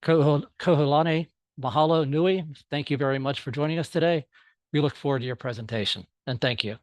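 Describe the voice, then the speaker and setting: A man's voice: fairly high pitch, brisk, no echo. Courteous man introducing a speaker. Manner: courteous and formal. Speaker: webinar moderator. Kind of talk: formal introduction. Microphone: video call.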